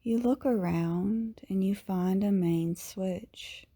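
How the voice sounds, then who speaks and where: Woman doing guided meditation, super slow and mellow, soothing, lots of pauses, hushed at the ends of phrases. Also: mid-range voice, breathy edge. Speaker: yoga teacher, meditation guide.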